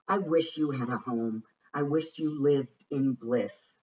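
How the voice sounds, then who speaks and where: A man giving an expressive read-aloud of a rhyming children's picture book, with deliberate, dramatic phrasing. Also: medium pitch, slightly rough. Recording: muffled, distant microphone.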